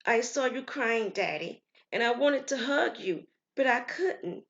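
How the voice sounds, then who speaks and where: A woman voicing an earnest, innocent daughter in an audio story, halting and full of childlike wonder. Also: on the high side, expressive, a bit bright, lo-fi sound, voice pushed up.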